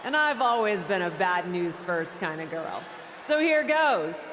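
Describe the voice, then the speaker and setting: A woman's voice: fairly low pitch, big pitch swings, a little slow, crowd noise. An actress playing a cocky, self-important female wrestler in a video game, giving a showy, sarcastic promo. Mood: wry, showy. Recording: long arena reverb, clear background noise.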